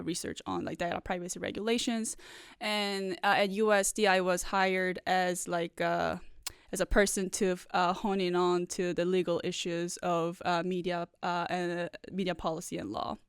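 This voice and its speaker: A woman's voice: on the low side, clear, resonant tone, no room sound, clean studio sound. A woman in her thirties from South Korea, a native Korean speaker, answering podcast interview questions thoughtfully with frequent "uh" and "like" fillers. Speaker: guest professor.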